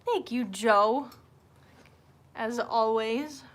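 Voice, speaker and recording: Higher-voiced woman chatting casually over noise. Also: drawn-out words. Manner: informal, rambling, self-deprecating, swears freely. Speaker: livestream host. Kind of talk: casual livestream chat. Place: indoors at home, window open. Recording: noisy room with open window.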